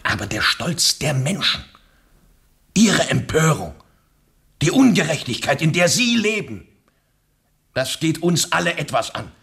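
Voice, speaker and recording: An actor in a radio play playing an embittered, ruthless Russian revolutionary with an impassioned, declamatory delivery. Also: male voice, sing-song, measured pace, gravelly, pauses often, husky, full character voice. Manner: impassioned, declamatory, swinging from low to loud.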